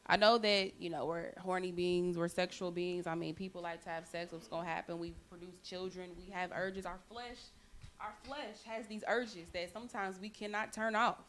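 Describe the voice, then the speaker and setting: A woman's voice: on the low side, steady tone, normal speed, a bit bright. A woman in a casual group discussion, speaking earnestly from her own beliefs. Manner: earnest, speaks from personal conviction.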